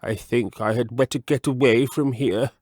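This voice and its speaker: A man voicing a fussy, genteel gentleman frog from a storybook, with deliberate, drawn-out, expressive phrasing. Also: mid-range voice, no echo, studio quality, lightly acted. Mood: fussy, musing. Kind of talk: storybook character voice.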